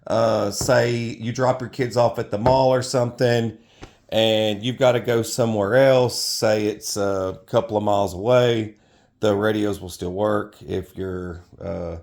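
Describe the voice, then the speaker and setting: A man in middle age with a fairly low-pitched voice talks through a product review off the cuff. His delivery is informal and rambling, and he tends to correct himself mid-thought. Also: fairly even intonation, a little slow, a little mellow.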